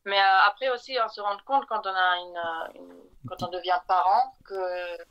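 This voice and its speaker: A woman's voice: on the low side, steady tone, moderate pace, dull audio. A woman guest telling her story in a casual interview, hesitant with many fillers. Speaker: guest, chocolatier.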